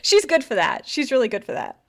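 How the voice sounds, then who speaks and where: A woman's voice: high-pitched, wildly swooping pitch, moderate pace, creaky, a bit bright. A woman co-hosting a podcast, talking in a chatty, upbeat way and laughing often. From United States. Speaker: podcast co-host. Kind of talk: casual podcast chat.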